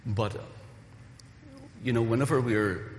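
A man's voice: mid-range voice, slow, a little hiss or hum. A pastor preaching a Sunday sermon, earnest and rising to emphatic calls to the congregation, his voice a little weak from recent voice loss. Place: church hall. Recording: roomy echo of a reverberant hall.

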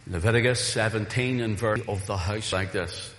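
A man's voice: lowish voice, moderate pace, a bit bright, slight background noise. A pastor preaching a Sunday sermon, earnest and rising to emphatic calls to the congregation, his voice a little weak from recent voice loss. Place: church hall. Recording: roomy echo of a reverberant hall.

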